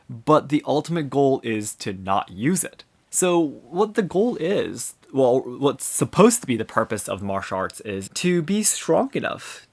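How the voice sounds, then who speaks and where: A man touched by English, hosting his own podcast and talking through his views in an earnest, thoughtful way, many examples and a frequent "you know". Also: medium pitch. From Japan. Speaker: podcast host. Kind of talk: solo podcast reflection.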